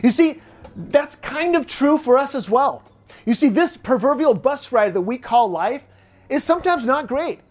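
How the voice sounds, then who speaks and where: A middle-aged American pastor preaching a Sunday sermon in a church, conversational and repetitive in phrasing. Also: male voice, very high voice, lively intonation, measured pace. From United States. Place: church sanctuary.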